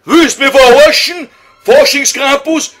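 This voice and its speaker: A man's voice: very high voice, steady tone, slow, a bit bright, soft background music, exaggerated voice. A man voicing a grumpy, tormented old scholar, whining and exasperated, with a Viennese accent in a comic radio sketch. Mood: impatient, angry.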